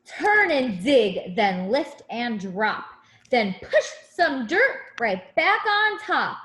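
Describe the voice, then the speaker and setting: A woman's voice: fairly high pitch, big pitch swings, slow pace, a bit bright, reverberant. A woman teacher reading a picture book aloud to young children, expressively, with simple asides to her listeners.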